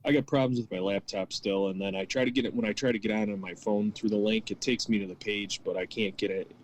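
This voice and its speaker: A man's voice: fairly low pitch, steady tone, fast, a little hiss or hum, low-quality recording. A man dialing into a casual podcast chat over a call-in connection. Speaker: podcast regular dialing in as a caller.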